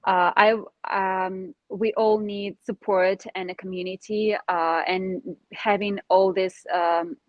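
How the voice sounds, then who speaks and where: A woman's voice: mid-range voice, fairly even intonation, slow pace, hardly any pauses, no echo, muffled recording. A woman whose native languages are Ukrainian and Russian, a Montessori facilitator speaking as a guest over a video call, hesitant with many fillers. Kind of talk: conversational interview.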